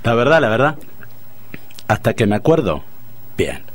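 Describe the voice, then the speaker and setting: A man acting a stubborn, self-pitying middle-aged husband with a Rioplatense Argentine accent in a comic radio play, grumbling and excitable. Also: mid-range voice, normal speed. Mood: gloomy, self-pitying.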